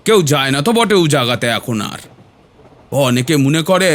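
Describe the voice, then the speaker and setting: Village uncle played by a man: rural Bengali accent, slightly high voice, folksy and obliging, horror audio story. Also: a bit bright. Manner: folksy, repeats verbal tags, hesitant fillers. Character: superstitious rustic village uncle, obliging and talkative.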